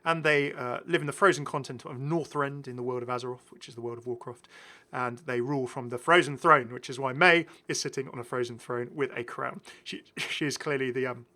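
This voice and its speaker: A man with a bright, forceful voice at a middle pitch hosts a gaming video. His commentary is casual and enthusiastic, full of chatty asides. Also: steady tone, a little fast, hardly any pauses. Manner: chatty, prone to chuckling asides.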